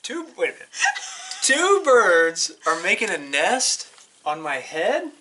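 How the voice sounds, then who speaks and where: A man's voice: high-pitched, huge pitch swings, slow pace, pushed and bright, slight background noise, exaggerated voice. A man voicing an anxious, exasperated elephant in a children's picture-book story, incredulous and emphatic. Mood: incredulous worry. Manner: incredulous, emphatic, dramatic questioning. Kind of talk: animated children's read-aloud.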